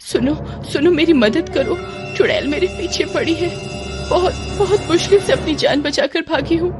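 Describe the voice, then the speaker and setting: Scared young village girl, played by a woman, high, pleading, repeating herself, music underneath. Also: normal speed, natural voice. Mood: frightened pleading. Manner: pleading, stammered repetitions.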